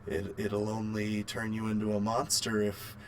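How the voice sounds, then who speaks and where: A man co-hosting a casual film review chat, halting and repeating words as he argues his point. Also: lowish voice, completely flat delivery, a little hiss or hum. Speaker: podcast co-host.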